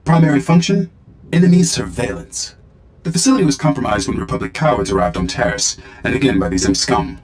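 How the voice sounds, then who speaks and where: A man's voice: mid-range voice, animated, a little fast, reverberant, faint music behind, lightly acted. A man voicing a blunt, scornful surveillance droid in a video game, with clipped, formal speech. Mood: clipped, factual. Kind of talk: scripted video game dialogue.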